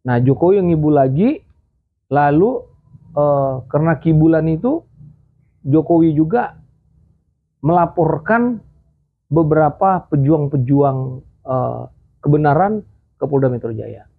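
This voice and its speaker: A man's voice: fairly high pitch, measured pace, vocal fry, long pauses. A man hosting a casual political commentary show, rambling with many fillers and a slight cough. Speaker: host. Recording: tinny phone-like audio.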